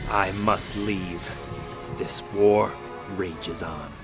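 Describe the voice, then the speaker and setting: Low, ponderous, theatrical villain. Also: male voice, fairly even intonation, drawn-out words, over music, exaggerated voice. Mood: grim, dramatic. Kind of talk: radio drama acting.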